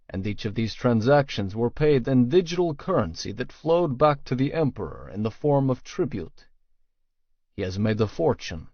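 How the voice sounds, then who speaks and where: A man's voice: medium pitch, no room sound. A man reading first-person fiction as an audiobook narrator, in a scripted storytelling style. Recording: dry, slightly dull studio sound.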